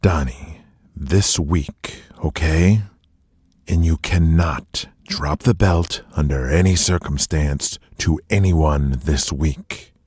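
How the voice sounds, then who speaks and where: A man plays a scheming wrestling promoter in an audio drama, calm, menacing and deliberate. His voice is very low and husky, unhurried, and recorded dry and close. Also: dry recording, heavily acted. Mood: coldly commanding.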